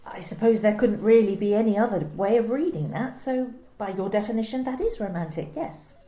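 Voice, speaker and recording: A woman's voice: mid-range voice, a little fast, mellow, close to an everyday voice. A woman acting a guarded, incredulous office employee in an amateur radio play, wary and questioning. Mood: sceptical, wary. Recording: roomy, echoey home recording.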